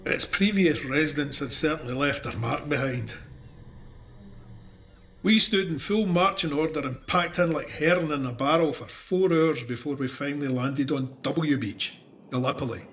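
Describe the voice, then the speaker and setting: A man's voice: mid-range voice, normal speed, fairly bright tone, a little hiss or hum, close to an everyday voice. An actor with a Scottish accent playing a wry, plain-spoken cavalryman recounting his war in a dramatised memoir. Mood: wry, reflective. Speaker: narrator of an audio drama. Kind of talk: dramatised first-person memoir narration.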